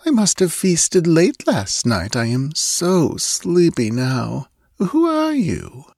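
Man voicing a high, drowsy, languid young woman. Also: very animated, no echo, studio quality, heavily acted. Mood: drowsy, puzzled. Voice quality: soft, breathy murmur. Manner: drowsy, languid, drawn-out yawning delivery. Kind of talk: audiobook character acting.

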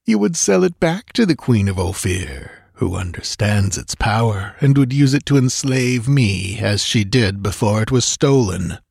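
Man acting a gravelly, mocking sorcerer-priest villain. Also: on the low side, expressive, a little slow, few pauses, darkish tone, no room sound, clean studio sound, full character voice. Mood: taunting. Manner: sardonic, purring false courtesy, taunting. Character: cruel, mocking, smugly lecherous male sorcerer-priest villain.

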